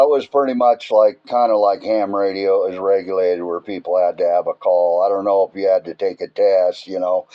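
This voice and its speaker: An American man talks casually over the radio like a hobby operator. His delivery is folksy and rambling, with plain everyday phrasing. The sound is a little dull and lo-fi. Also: lowish voice. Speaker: amateur radio operator, channel owner recording contacts.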